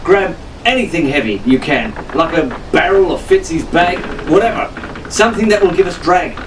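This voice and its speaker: Cocky teenage pirate captain, performed by a male reader, urgent and commanding, higher-pitched, audiobook adventure. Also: lively intonation, normal speed, recorded from a distance, noisy background, close to an everyday voice. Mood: urgent, shouting. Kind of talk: audiobook character acting.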